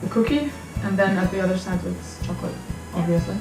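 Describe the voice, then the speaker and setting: A woman's voice: medium pitch, animated, fast talker. A sixteen-year-old young woman from Germany hosting a casual vlog chat with friends. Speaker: channel host. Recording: echoey room with music underneath.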